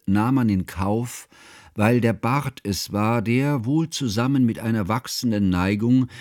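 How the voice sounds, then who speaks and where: An actor playing a grave, scholarly older man who narrates his composer friend's life in a radio play, solemn and measured in ornate literary phrases. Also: lowish voice, darkish tone, no echo, clean studio sound, natural voice.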